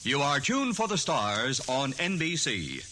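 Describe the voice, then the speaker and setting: A man's voice: mid-range voice, sing-song, unhurried. A man announcing in 1940s radio style, reading out credits as a scripted sign-off. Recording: 1940s radio recording, organ music.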